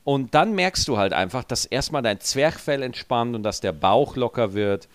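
A man with a regional German dialect and a rolled R, telling stories in a relaxed, joking podcast chat. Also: mid-range voice, normal speed, clear, resonant tone, dry recording. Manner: lively storyteller, joking. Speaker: podcast co-host. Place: studio, feet up on desk.

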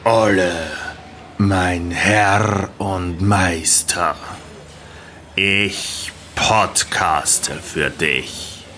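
Man doing a slow, sharp-voiced menacing android servant. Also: low-pitched, steady tone, a little hiss or hum, full character voice. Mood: menacing devotion. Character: menacing, servile android podcaster devoted to his master.

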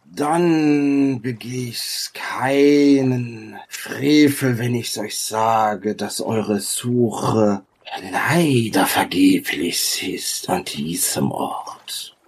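A man voicing an ancient, arrogant and weary reptilian sage in a tabletop roleplaying game, with a drawn-out, pompous delivery. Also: mid-range voice, a little hiss or hum. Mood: solemn.

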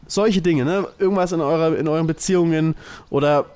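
A man's voice: on the high side, brisk, darkish tone, clean tone. A man co-hosting a podcast in casual, joking conversation. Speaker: podcast co-host. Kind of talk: casual comedic chat.

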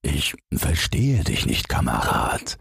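Man as a slow, husky, naive snowman. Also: very deep voice, huge pitch swings, fairly bright tone, dry recording, studio quality, exaggerated voice. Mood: puzzled. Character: naive newborn snowman, proud, puzzled and stubborn.

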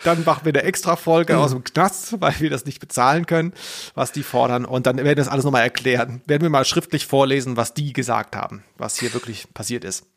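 Guy cohosting a podcast, normal pitched voice, chatty and jokey, tongue in cheek. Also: fast, gravelly, fairly bright tone. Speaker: podcast host. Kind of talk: casual podcast chat.

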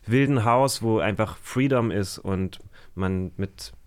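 A man's voice: fairly low pitch, slow, smooth. A man, chatting casually as a guest in a loose, slangy, searching way. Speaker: podcast guest, actor. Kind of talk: casual chat.

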